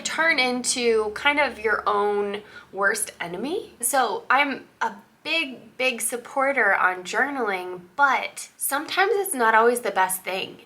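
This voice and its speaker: A woman's voice: medium pitch, vocal fry, pushed and bright. A woman in her thirties getting over a cold, chatting casually to camera with lots of fillers and asides. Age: 30s. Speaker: vlogger and author.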